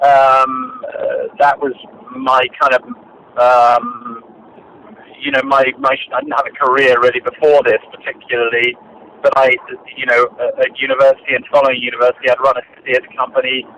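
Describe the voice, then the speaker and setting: An older man, a director answering interview questions in a measured, hesitant way with many fillers and restarts. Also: mid-range voice, flat delivery, fairly bright tone. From Britain. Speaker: film and radio drama director, guest. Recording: dull lo-fi archive recording, faint noise.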